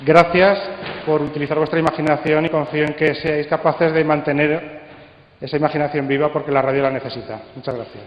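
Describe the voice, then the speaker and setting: A man with an accent from Spain giving a live talk, speaking rhetorically phrase by phrase with pauses. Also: on the high side, fairly even intonation. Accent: Spanish, from Spain. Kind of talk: live presentation to an audience. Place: conference hall. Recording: dull sound, echoey hall with long reverb.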